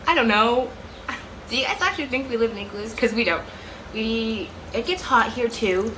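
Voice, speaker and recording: A young Canadian-accented woman vlogs casually from home with a mid-range voice. She rambles, laughs at herself and keeps restarting her thoughts. A loud fan hum runs under everything. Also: medium pitch. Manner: chatty, rambling, self-correcting, giggly. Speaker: vlogger. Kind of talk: casual chat to camera. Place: room at home with a fan running. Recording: steady fan noise behind.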